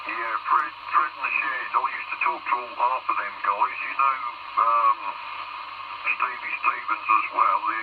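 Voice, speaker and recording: A man's voice: medium pitch, unhurried. Man on a crackly, tinny CB radio. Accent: English Home Counties. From southern England, Hertfordshire area. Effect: CB radio transmission. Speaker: amateur CB radio operator. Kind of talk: casual radio chat. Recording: narrow tinny CB radio, loud static noise.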